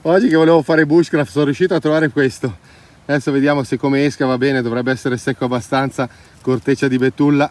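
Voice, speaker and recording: A man's voice: mid-range voice, steady tone, fast. A man chatting casually and enthusiastically to viewers as an outdoor vlog host. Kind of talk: casual chat to camera. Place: mostly outdoors in mountain valley and woods. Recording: noisy outdoor ambience.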